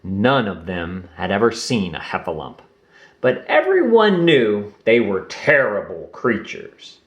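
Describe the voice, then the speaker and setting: A man narrating a children's book aloud in an expressive storytelling manner. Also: fairly low pitch, measured pace, highs cut off.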